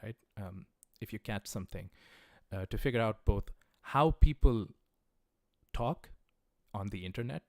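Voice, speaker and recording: A man with a lowish voice teaches a livestreamed class in an easygoing, chatty way, often pausing on "uh" and "um". He sounds encouraging as he speaks straight to his viewers. The sound is close and dry, with no room echo. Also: vocal fry. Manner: relaxed, conversational, many "uh" and "um" fillers; encouraging, recommends resources directly to viewers. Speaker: language teacher. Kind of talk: casual livestreamed lesson.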